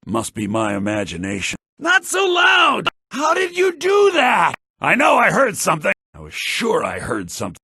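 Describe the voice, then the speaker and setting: A sly, grasping merchant from a fantasy game, with a gravelly, husky male voice pitched high. His delivery is theatrical and swings widely in pitch. The audio is a compressed, low-bitrate game rip. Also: huge pitch swings, moderate pace, hardly any pauses, pushed and bright, dry recording, shouting, studio quality, exaggerated voice. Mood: suspicious. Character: sly fantasy male shopkeeper, grasping, quick to yell at thieves. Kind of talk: video game character barks.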